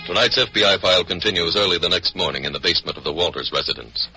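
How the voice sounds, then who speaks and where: An American man delivering stern, measured, authoritative crime narration in 1940s radio style. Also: deep voice. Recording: dull, band-limited vintage radio recording. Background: music.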